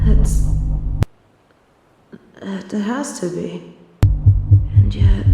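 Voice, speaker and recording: Woman voicing a snobby fallen angel lady, slow formal speech, bit of fry, imperious but softening, audio roleplay. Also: mid-range voice, heavily acted. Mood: troubled, dazed. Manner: formal, haughty, theatrical diction. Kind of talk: scripted audio roleplay acting.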